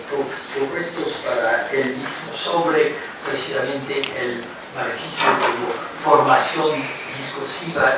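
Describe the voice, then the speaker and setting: Halting older man lecturing in an echoey hall. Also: a little high, background noise. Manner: halting, many fillers and repeated words. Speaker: guest lecturer. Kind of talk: academic lecture. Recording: echoey hall, recorded from far off.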